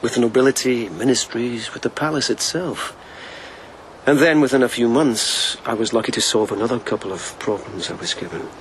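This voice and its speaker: An actor playing a gentle, wry, devoted police lieutenant in a radio drama, speaking softly and with measured intimacy. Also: male voice, medium pitch, a little hiss or hum, lightly acted, low-quality recording. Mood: reflective.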